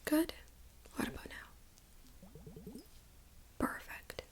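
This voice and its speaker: Whispering woman in an ASMR doctor role-play. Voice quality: breathy whisper. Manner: gentle, reassuring, unhurried clinical explaining. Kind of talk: soft-spoken ASMR medical exam role-play.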